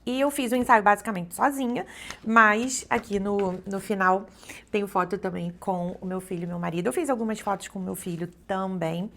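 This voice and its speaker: A woman's voice: medium pitch, fairly even intonation, normal speed, fairly bright tone. A middle-aged Brazilian woman, a travel vlogger and photographer, chatting casually to her viewers with lots of little fillers. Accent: Brazilian Portuguese. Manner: chatty, frequent "né" and "então" fillers. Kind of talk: casual chat to camera.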